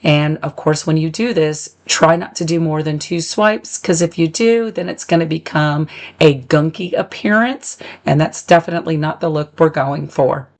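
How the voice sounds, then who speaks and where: A woman hosting a do-it-yourself channel, talking through a crafting tutorial as a casual voice-over. Her voice is still a little squeaky and crackly as she recovers from being unwell, and she gives friendly step-by-step instructions with the occasional um. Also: normal speed, a little mellow. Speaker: DIY upcycling channel host.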